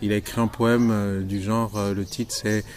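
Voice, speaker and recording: Low-pitched guy lecturing, lots of euhs, bit of outdoor noise. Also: level tone, normal speed, a little mellow. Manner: searching, many fillers, lecturing tone. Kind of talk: explanatory interview talk.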